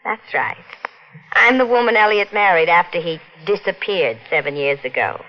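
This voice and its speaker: An actress playing a shrewd, coarse widow who blackmails a couple, with folksy ungrammatical speech, on an old radio drama. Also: female voice, lowish voice, lively intonation, moderate pace, lightly acted. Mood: sly. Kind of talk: 1950s radio drama acting. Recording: dull vintage radio broadcast.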